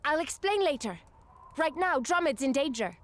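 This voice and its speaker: An actress playing a brisk, young adventurer girl in a video game. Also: high-pitched, lively intonation, a bit bright, a little hiss or hum. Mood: urgent. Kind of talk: video game cutscene acting.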